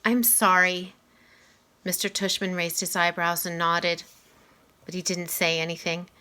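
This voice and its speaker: A woman reading a children's novel aloud as an expressive storyteller. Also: lowish voice, normal speed, clear, resonant tone. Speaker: reader.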